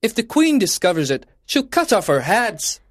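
A man's voice: fairly high pitch, animated, takes it slowly, no room sound, studio quality. A man playing a nervous, pleading playing-card gardener in a children's storybook. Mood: fearful.

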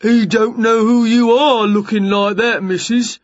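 A woman's voice: on the high side, fairly even intonation, unhurried, dry recording, muffled recording, voice pushed up, lightly acted. A woman voicing a simple, apologetic giant man in a storybook, with ungrammatical, homely and polite speech. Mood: apologetic, puzzled. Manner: rustic, ungrammatical, politely deferential. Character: giant male neighbour, simple, apologetic dog owner.

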